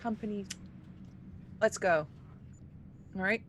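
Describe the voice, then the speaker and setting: A woman's voice: medium pitch, slow pace, muffled recording, slight background noise. A woman playing a tabletop roleplaying game, speaking her dialogue in a brusque, bossy manner. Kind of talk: casual in-character game dialogue.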